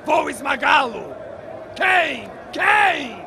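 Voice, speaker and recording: A menacing giant warrior of a cartoon, throws out taunts in a high, gravelly voice. He drags out his challenge with aggression over a noisy crowd. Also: sing-song, very slow, raised voice, exaggerated voice. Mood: taunting, menacing. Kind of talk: dubbed cartoon villain acting.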